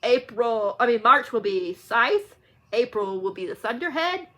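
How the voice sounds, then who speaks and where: A woman's voice: on the high side, sing-song, unhurried, a bit bright. A chatty woman book vlogger talking casually and unscripted to viewers, rambling and correcting herself as she goes. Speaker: book vlogger hosting a read-along.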